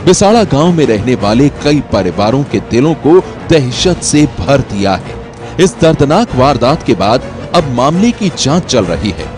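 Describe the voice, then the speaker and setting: A man's voice: mid-range voice, big pitch swings, unhurried. Dramatic male crime news narrator over music. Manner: emphatic, theatrical delivery. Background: background music.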